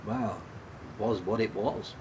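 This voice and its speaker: An actor playing a subdued, guilt-ridden man in a radio play, speaking haltingly and repeating his words. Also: fairly low pitch, background noise, lightly acted. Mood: morose, weary. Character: guilt-ridden, self-blaming man, an ex-prisoner, subdued and troubled. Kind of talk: radio play dialogue, naturalistic.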